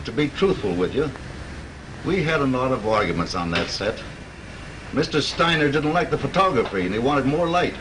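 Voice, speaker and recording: An actor playing a plainspoken studio electrician who explains things matter-of-factly. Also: male voice, mid-range voice, animated, normal speed, natural voice. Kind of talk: 1930s film acting. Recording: hissy 1930s film soundtrack.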